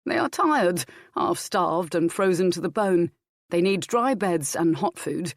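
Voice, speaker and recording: A woman's voice: fairly low pitch, big pitch swings, dry recording. Woman voicing a commanding, kindly duke, very dry sound. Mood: firm. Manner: measured, formal, authoritative. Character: commanding, protective royal duke, calm and kindly.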